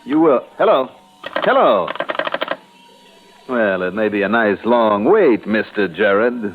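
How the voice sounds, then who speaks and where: An actor playing a wry, brisk, unflappable insurance investigator in a vintage radio drama. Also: male voice, fairly low pitch, big pitch swings, a little hiss or hum. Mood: exasperated. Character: wry, tough freelance male insurance investigator. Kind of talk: 1950s-60s radio drama acting with first-person narration. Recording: muffled, tinny vintage radio recording.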